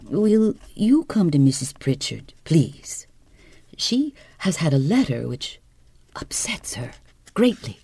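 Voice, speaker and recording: A woman's voice: low voice, very animated, dark tone, breathy edge, dry recording, exaggerated voice. A woman playing a grave, sensible nurse. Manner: serious, measured.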